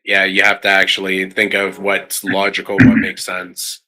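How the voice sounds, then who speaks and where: A man's voice: low-pitched, completely flat delivery, normal speed, crowd noise. A man hosting a casual chat, talking informally and often checking for agreement. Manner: informal, seeks agreement with frequent "right?".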